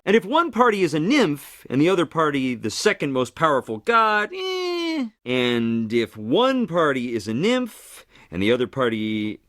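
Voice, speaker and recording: A glib, swooping messenger god. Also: male voice, medium pitch, a bit bright, no room sound, clean studio sound. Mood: placating. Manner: sardonic, chatty, flippant. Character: wry, glib male messenger god Hermes. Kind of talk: theatrical game voice lines.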